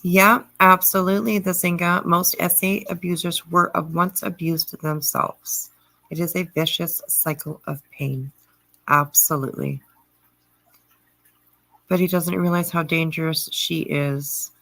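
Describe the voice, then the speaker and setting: A middle-aged woman hosting a livestream, chatting casually and answering viewer comments in a halting way with frequent pauses. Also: lowish voice, steady tone, sharp tone, dry recording, rough recording. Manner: halting, pausing often mid-sentence. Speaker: livestream host.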